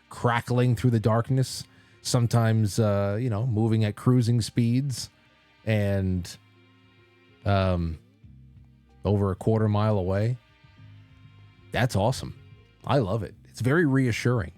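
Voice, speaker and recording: Male talk host, low register, informal and rambling, faint music underneath. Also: dark tone. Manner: informal, rambling, lightly profane. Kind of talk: casual solo livestream chat with ad read.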